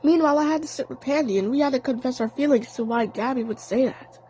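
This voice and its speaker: A woman narrating a fan audio play in the first person, telling the story in an earnest, deliberate, dramatic way. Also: high-pitched, dark tone, a little hiss or hum, poor audio.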